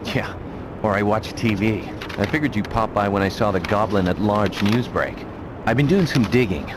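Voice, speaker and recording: An actor playing a matter-of-fact investigative reporter in a video game, dry in manner. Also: male voice, lowish voice, normal speed, background noise, natural voice. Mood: dry, helpful. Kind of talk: video game character acting.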